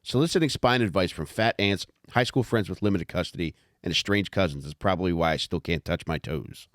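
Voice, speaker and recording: A man narrating a comic memoir audiobook in a wry, measured, profane way. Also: low-pitched, a bit bright, dry recording, studio quality.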